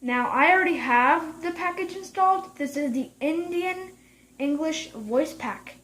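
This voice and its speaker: A woman presenting an unscripted step-by-step software tutorial in a halting way, often restarting phrases. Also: sits high, poor audio.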